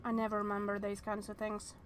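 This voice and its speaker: A woman's voice: medium pitch, completely flat delivery, fast talker, slight background noise. A woman in her thirties streaming a visual novel, chatting and thinking aloud with hesitant restarts. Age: 30s.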